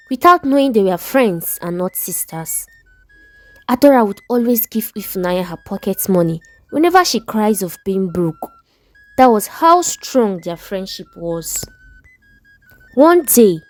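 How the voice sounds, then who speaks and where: Nigerian lady telling a dramatic story, very animated pitch, dry mic w soft music. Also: dry recording. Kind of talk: scripted dramatic story narration.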